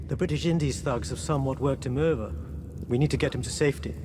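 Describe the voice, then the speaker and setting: A man's voice: mid-range voice, fast, heavy background noise, natural voice. A man playing a courteous, well-spoken Indian maharaja in Victorian London, in a dramatic video game cutscene. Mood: hushed, resolute.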